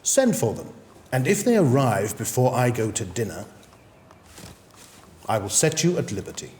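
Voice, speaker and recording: An actor playing a courteous, formal English magistrate handing down judgement in measured period-drama speech. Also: male voice, mid-range voice, very animated, darkish tone, close to an everyday voice. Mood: courteous, businesslike. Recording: long hall reverb, background noise.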